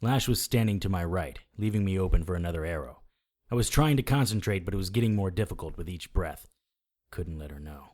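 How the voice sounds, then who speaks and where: An actor playing a cocky, sarcastic hardboiled supernatural detective, narrating and trading defiant lines in a noir audio drama. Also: male voice, low-pitched, lively intonation, a little fast, a little mellow. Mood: wry narration.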